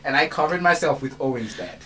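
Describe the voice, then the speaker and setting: Lively young Nigerian man, noisy room. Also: fairly high pitch, a little fast. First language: Igala, Hausa or Yoruba. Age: young adult. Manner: animated storytelling with laughs. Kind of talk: casual group chat.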